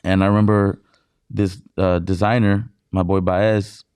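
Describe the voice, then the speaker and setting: A man from Mexicali, a DJ guest on an interview show, telling stories in excited, casual talk full of filler words and swearing. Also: low voice, unhurried, smooth, no echo, studio quality. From Mexicali, Baja California, Mexico.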